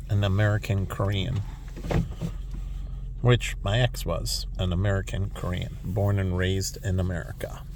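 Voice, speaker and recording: A man talks slowly in a lowish voice while walking outdoors. He rambles and circles back to the same points in a relaxed vlog manner. Traffic noise comes through clearly behind him. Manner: rambling, repeats himself. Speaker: vlogger. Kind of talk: casual walking tour vlog. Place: city street outdoors.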